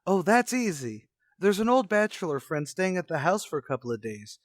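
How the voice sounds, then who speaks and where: An actor playing an eager, excitable teenage schoolboy in a radio comedy, with a breezy, brash, slangy manner. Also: male voice, high voice, moderate pace, no room sound, studio quality. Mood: scheming, brash. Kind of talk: old-time radio comedy acting.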